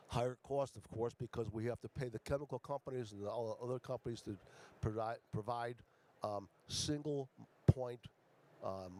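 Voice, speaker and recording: A man from Ecuador giving a conference pitch as a startup founder, speaking haltingly with many fillers and restarts. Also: a little mellow.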